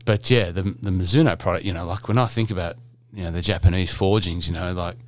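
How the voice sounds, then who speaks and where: Middle-aged male podcast host, low voice, rambling and jokey, loose casual delivery. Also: steady tone, fast talker. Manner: chatty, rambling, jokey, many fillers and restarts.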